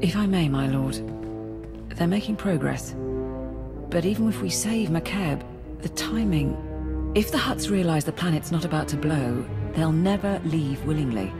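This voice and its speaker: A woman's voice: sits low, animated, moderate pace, over music. A woman playing a practical, anxious imperial officer in a video game, speaking deferentially to superiors. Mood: worried, practical. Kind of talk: scripted video game acting.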